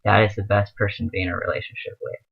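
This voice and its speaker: Young man, earnest, rambling with fillers, lowish voice, tinny phone-like sound. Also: little pitch movement, very fast, creaky, slightly rough. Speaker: vlogger. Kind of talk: casual advice vlog.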